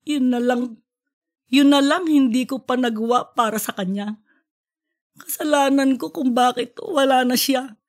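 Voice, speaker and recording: A woman's voice: high-pitched, unhurried, pauses often. A woman voicing a distraught, self-blaming woman professor in an audiobook story. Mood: upset, self-blaming. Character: distraught, guilt-ridden woman professor drinking in a bar.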